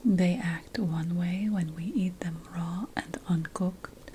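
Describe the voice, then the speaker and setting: Woman playing ancient witch priestess whispering real slow, asmr roleplay, lofty potion scholar, noisy recording. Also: lowish voice, fairly even intonation. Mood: hushed, instructive. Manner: hushed, deliberate, lingering over lists.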